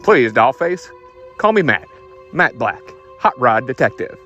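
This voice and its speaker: Casting: a man acting a wisecracking 1940s gumshoe narrator, very animated pitch, clipped noir slang, faint music behind. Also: a little slow, highs cut off. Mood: wry, brisk. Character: hard-boiled 1940s male private detective, wisecracking noir narrator. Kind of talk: 1940s detective radio parody.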